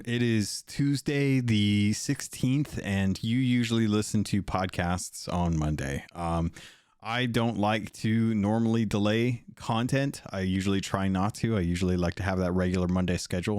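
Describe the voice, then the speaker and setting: A hoarse man hosts a casual podcast, his voice sitting a bit low and rough from a cold. He rambles along and often corrects himself mid-sentence. The sound is dry and close, with no room around it. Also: darkish tone, no room sound. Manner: rambling, self-correcting, thankful. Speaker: podcast host.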